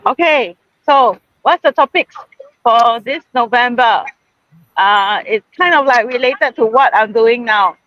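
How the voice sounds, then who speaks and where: Singapore woman hosting a live, high voice, chatty and laughy, dry close sound. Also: lively intonation, unhurried, no room sound, highs cut off. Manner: chatty and laughing, with many fillers and restarts. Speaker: host of a group live stream. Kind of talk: casual live-stream chat. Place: out in a busy shopping mall.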